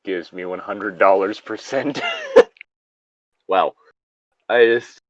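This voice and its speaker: A man bantering casually and reading a story aloud haltingly, recorded on a slightly dull mic. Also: on the low side, dry recording.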